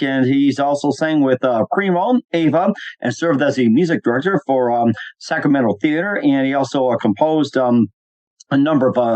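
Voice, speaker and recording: A man's voice: mid-range voice, darkish tone. A man hosting an interview show, introducing his guest showman-style but stopping often for fillers and restarts. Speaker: talk show host. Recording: dull lo-fi sound.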